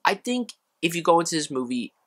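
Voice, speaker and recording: A man's voice: fairly high pitch, big pitch swings, fairly quick, fairly bright tone. A young American man of about college age, talking casually and conversationally to camera as an opinionated movie reviewer. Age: young adult, around college age. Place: small room at home, indoors.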